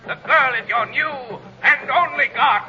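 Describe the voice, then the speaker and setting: High-pitched, taunting invisible avenger. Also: male voice, measured pace, sharp tone, slight background noise, exaggerated voice. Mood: commanding, taunting. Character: mocking invisible male avenger challenging a villain. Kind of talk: old-time radio drama acting. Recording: dull vintage radio, music and crowd behind.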